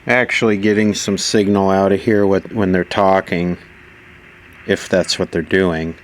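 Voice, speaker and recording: A man with a fairly low voice talks his way casually through an electronics repair. He muses aloud and puts questions to himself as he troubleshoots. There is faint noise in the recording. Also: little pitch movement, measured pace. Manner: musing, asks himself questions. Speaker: electronics repair hobbyist presenter.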